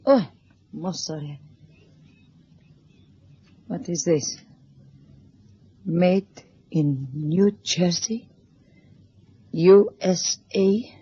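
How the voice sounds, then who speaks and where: An actress playing a haughty, wary Russian woman with formal, halting speech in an old radio drama. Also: lowish voice, dark tone. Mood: polite, then suspicious. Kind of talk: 1970s radio drama acting. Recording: muffled, noisy old radio drama recording.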